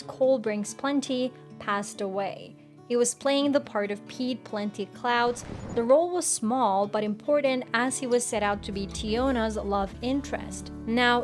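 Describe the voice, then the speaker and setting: Higher-pitched woman narrating with background music. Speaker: entertainment news video presenter. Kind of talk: scripted video narration.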